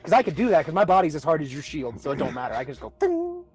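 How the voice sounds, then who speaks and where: A man's voice: fairly high pitch, huge pitch swings, rapid-fire. A man chatting casually and jokingly as a player in a tabletop roleplaying game. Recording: music playing behind the voice.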